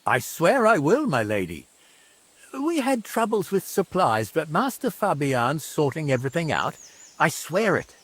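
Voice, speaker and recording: An actor playing a boastful bailiff who poses as a chivalrous hero, with pompous courtly flourishes, in a period video game. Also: male voice, a little high, big pitch swings, a little slow, a bit bright, breathy edge, no room sound, heavily acted. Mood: earnest, pleading.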